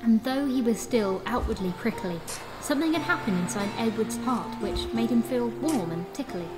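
An actress playing a storyteller narrating a rhyming Christmas audio tale. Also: female voice, a little high, lively intonation, moderate pace, close to an everyday voice, low-quality recording. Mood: storytelling. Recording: loud music under the voice.

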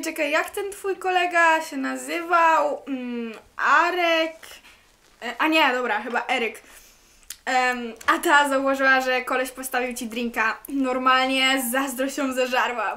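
Young female student actress as chatty, gossipy party girl for amateur radio drama; high and bright, colloquial. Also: exaggerated voice. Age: young adult. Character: talkative, bold, party-loving young woman.

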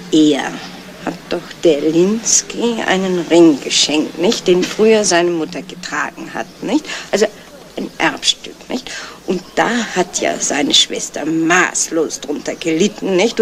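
An actress playing a nosy gossip in a radio crime drama, rambling and insinuating, with constant tag questions. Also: female voice, on the low side. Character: gossipy, nosy local woman, feigning discretion. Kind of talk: 1970s German radio crime drama acting. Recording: noisy old radio play recording.

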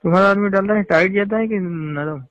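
A man's voice: high-pitched, brisk, crowd noise. A man on a phone call, talking casually and insistently, often pressing with repeated questions. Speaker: phone caller. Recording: tinny phone-line call recording.

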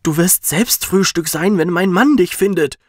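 A man's voice: sits high, normal speed, no echo, clean studio sound, voice pushed up. A man voicing a giant's brusque, scolding wife in a children's fairy tale.

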